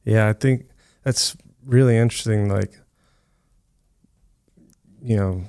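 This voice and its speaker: A man chatting casually on a podcast, upbeat and easygoing, saying "man" and answering with quick agreements. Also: lowish voice, warm tone, no echo, studio quality.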